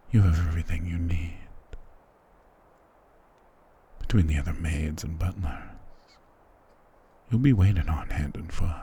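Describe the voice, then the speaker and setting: A man playing a doting, possessive immortal vampire lord in an intimate ASMR romance roleplay, husky, tender and softly commanding. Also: a little hiss or hum. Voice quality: breathy, husky low whispering.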